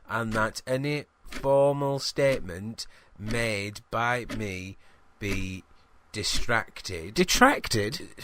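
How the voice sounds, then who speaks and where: A man voicing a nervous young man who recites stiffly and haltingly in a comic audio drama. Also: on the low side, background noise. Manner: halting, stiff, over-concentrated.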